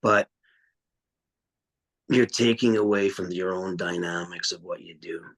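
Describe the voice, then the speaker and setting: A man's voice: sits low, fairly even intonation, measured pace. A man, a metal vocalist, talking bluntly and at length in a casual podcast interview, swearing freely. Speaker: metal vocalist and guitarist guest.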